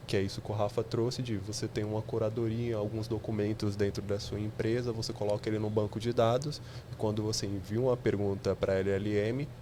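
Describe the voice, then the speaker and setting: A man's voice: on the low side, dark tone, a little hiss or hum. A man, a podcast guest explaining technical ideas step by step with examples.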